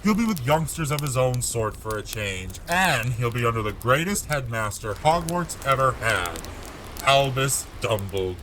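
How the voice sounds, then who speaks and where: A man voicing a gruff, warm-hearted giant gamekeeper, blustery and loyal, in growling rustic dialect for a storybook audio drama. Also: medium pitch, very animated, moderate pace, slight background noise, rough recording. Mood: proud, indignant.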